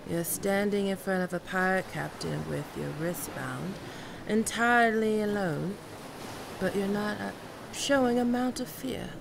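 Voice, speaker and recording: A woman playing a commanding, mocking and seductive female pirate captain in an audio roleplay, with theatrical, teasing, drawn-out menace. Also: mid-range voice, gravelly, noisy background. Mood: menacing, appraising. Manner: theatrical, teasing, drawn-out menacing delivery with archaic turns of phrase. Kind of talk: ASMR roleplay acting.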